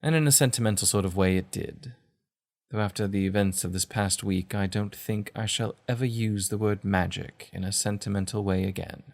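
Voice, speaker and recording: An actor playing a shaken, reflective gentleman in a period audio drama, reading his letter in literary, measured phrases. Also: fairly low pitch, creaky. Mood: wistful, reflective.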